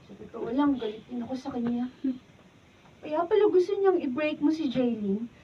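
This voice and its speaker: A woman's voice: high voice, lively intonation, moderate pace, a little hiss or hum. A Filipino woman playing a loyal, helpful young friend in a radio drama. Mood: upset. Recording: muffled, distant mic.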